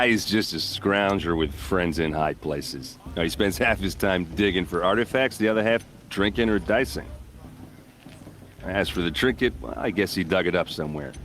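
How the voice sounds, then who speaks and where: A man's voice: low voice, fairly bright tone, slight background noise. An actor playing a breezy, flirtatious, confident man chatting teasingly in a fantasy game. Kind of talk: casual dialogue in a fantasy game.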